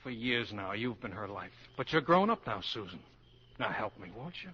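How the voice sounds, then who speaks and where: An actor playing an ardent middle-aged college professor with earnest, emphatic delivery, in 1940s radio drama style. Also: male voice, fairly low pitch, sing-song, moderate pace, sharp tone, husky, full character voice. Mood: urgent, pleading. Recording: muffled, noisy 1940s radio recording.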